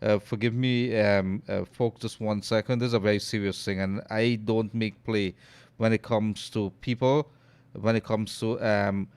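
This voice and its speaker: A Guyanese man hosting a call-in radio show. He reads out listener messages and comments on them with loud emphasis. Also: fairly low pitch, a little slow, clear, resonant tone, no room sound. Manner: loud and animated on air, trails off and murmurs when aside. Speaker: radio host. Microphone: studio mic.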